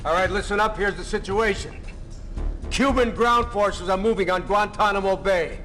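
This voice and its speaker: Brisk male Navy commander for a game cutscene; high voice, clipped orders, music behind. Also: fairly bright tone. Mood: urgent, firm. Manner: clipped, authoritative. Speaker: actor in a video game cutscene. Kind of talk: military briefing dialogue.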